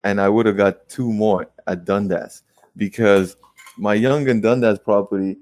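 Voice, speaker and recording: Guy in his late twenties chatting casually, lowish voice, dry sound, tells stories quick and rueful. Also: dry recording. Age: 20s. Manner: animated storytelling, quick rueful asides. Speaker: guest real estate investor. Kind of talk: casual conversational interview.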